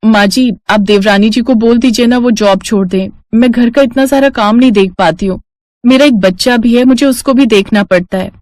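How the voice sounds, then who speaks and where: A woman's voice: on the high side, normal speed, a little mellow, dry recording, voice pushed up, close to an everyday voice. Woman voicing an overworked, plaintive young housewife. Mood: aggrieved. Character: overworked, long-suffering young housewife and mother.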